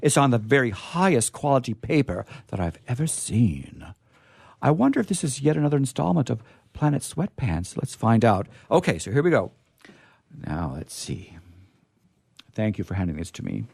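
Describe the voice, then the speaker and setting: A man's voice: mid-range voice, sing-song, moderate pace, vocal fry, darkish tone, slightly rough, no room sound, clean studio sound. A man hosting a radio show with chatty, rambling talk and a playfully theatrical manner.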